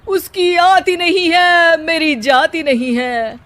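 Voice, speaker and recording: A lazy young husband from a cartoon story played by a woman, high in pitch and slow to get the words out. He is full of self-pity and declaims like a stage poet. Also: fairly bright tone, exaggerated voice. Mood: aggrieved. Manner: theatrical poetry recital. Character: lazy young husband spouting rhyming couplets, self-pitying.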